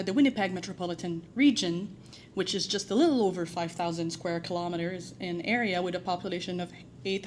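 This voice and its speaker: Woman, French accent, conference presenter, mid pitch, friendly and filler-heavy, faint background noise. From New Brunswick, Canada. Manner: frequent "uh" fillers, friendly and self-deprecating. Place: conference room.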